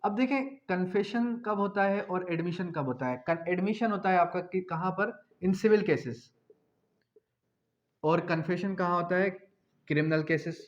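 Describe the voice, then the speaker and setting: Male lecturer, Pakistani accent, fairly high voice, very measured didactic delivery with repeated phrases, muffled lo-fi recording. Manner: didactic, repetitive, posing questions and answering them. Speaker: law lecturer. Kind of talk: explanatory lecture with slow dictation of definitions.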